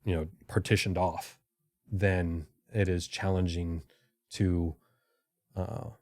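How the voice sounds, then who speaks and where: A middle-aged man, a podcast guest and former intelligence officer, answering in a careful, halting, reflective way. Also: deep voice, steady tone, a little mellow, dry recording.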